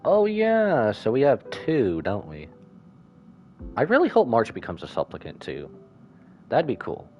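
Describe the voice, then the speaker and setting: A man streaming a game with casual, informal live reactions and expressive asides. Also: medium pitch, measured pace, long pauses, muffled recording. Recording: faint game music behind.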